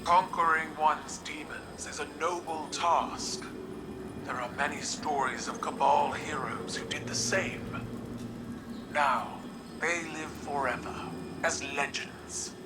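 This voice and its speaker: An actor playing a proud, stoic alien warrior ruler in a video game, speaking in a formal, deliberate, commanding way. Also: male voice, on the high side, fairly even intonation, very noisy. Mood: grave respect. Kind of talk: dramatic video game voice acting.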